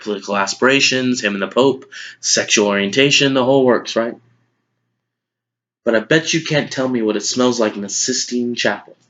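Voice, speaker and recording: A man playing a calm, quietly cutting older mentor in a measured dramatic monologue. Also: mid-range voice, natural voice. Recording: dull lo-fi audio.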